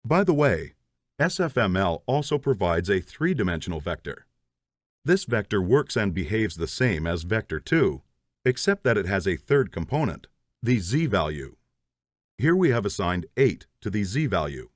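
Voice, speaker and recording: Lively low-voiced man teaching code. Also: normal speed, no room sound, studio quality. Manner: methodical, explains step by step. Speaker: programming instructor. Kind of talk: scripted tutorial narration.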